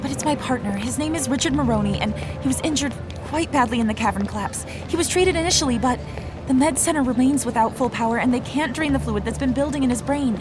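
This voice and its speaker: High, pleading woman citizen played by an actress. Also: fast, over music, close to an everyday voice. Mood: anxious, pleading. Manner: hesitant, apologetic. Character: anxious, pleading female citizen with injured partner.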